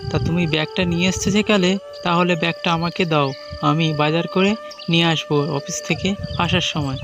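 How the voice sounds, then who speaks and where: Teasing husband, played by a man, high-pitched, exasperated yet caring, cartoon drama with music behind. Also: fairly even intonation, moderate pace, close to an everyday voice. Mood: casual. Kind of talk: amateur cartoon story voice acting.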